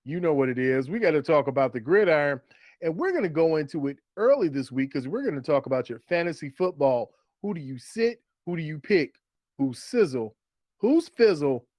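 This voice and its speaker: Animated-talking male sports host, higher-pitched voice. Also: fairly quick, no room sound. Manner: playful, repeats catchphrases and rhetorical questions. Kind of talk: upbeat fantasy football banter.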